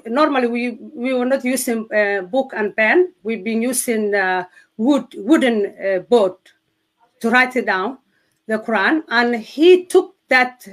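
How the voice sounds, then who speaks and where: A woman from Somalia with a Somali accent, speaking English as a second language, giving her personal testimony in an interview with earnest, halting speech as she searches for words. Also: on the high side. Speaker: guest sharing testimony.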